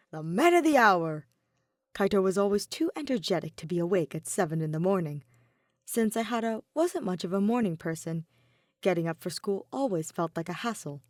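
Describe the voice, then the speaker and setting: A woman reading a fan fiction story aloud as an expressive narrator. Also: lowish voice, dry recording, studio quality. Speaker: podfic narrator.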